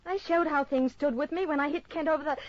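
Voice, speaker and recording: An actress playing an anxious, defensive gangster's moll in an old radio melodrama. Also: female voice, high voice, quick pace, natural voice. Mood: anxious, pleading. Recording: muffled old radio recording.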